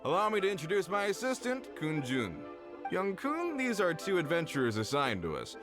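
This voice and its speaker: A man's voice: sits high, huge pitch swings, normal speed. A man voicing a boastful middle-aged dock foreman who talks in a showy, blustering way. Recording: game dialogue over music.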